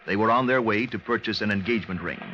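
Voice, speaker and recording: An actor as an authoritative British narrator of a 1950s radio crime series, formal and deliberate. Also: male voice, on the low side, pushed and bright, a little hiss or hum. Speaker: announcer and narrator. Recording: dull old radio recording.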